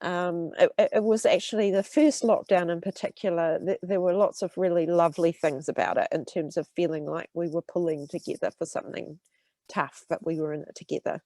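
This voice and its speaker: A woman's voice: lowish voice, fairly even intonation, a little fast, dry recording. A New Zealand woman in her thirties, an urban health researcher with a cold and a stuffy nose, explaining to students with lots of ums and restarts. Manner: warm explaining with many fillers and restarts. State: congested with a cold. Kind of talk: talk to students.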